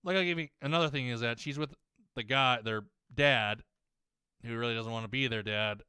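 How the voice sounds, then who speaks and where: A young man ranting while playing a game, rambling, swearing a lot and often restarting his sentences, his voice slightly hoarse as it warms up. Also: mid-range voice, moderate pace, no room sound, clean studio sound. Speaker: gaming YouTuber.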